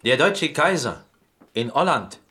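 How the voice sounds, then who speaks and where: An actor playing a fussy, naive Greek cook in prison in a radio play, with a Greek accent and halting, broken speech. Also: male voice, medium pitch, very animated, pushed and bright, a little hiss or hum. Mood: curious, insistent. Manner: halting broken German, insistent questioning. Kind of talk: radio drama acting.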